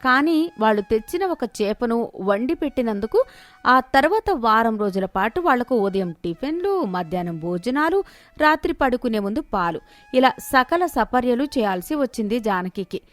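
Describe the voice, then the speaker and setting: A woman's voice: fairly high pitch, expressive, moderate pace, no room sound. A woman reading a scripted moral story as its narrator.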